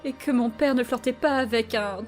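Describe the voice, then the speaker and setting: A woman's voice: fairly high pitch, normal speed, faint music behind, natural voice. A woman voicing a sullen, wounded teenage demon girl with a sulky manner, in a cartoon fan dub. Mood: resentful. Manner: sulky, hurt. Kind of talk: animated series fan dub.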